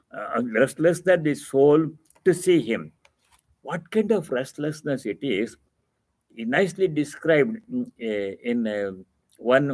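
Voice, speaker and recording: A man with an Indian accent teaching scripture as a swami, explaining terms patiently and repeating key phrases for emphasis, with deliberate pauses. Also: medium pitch, darkish tone, dry recording. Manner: explains Sanskrit terms didactically. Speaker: swami giving a religious discourse. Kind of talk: scripture reading with devotional commentary.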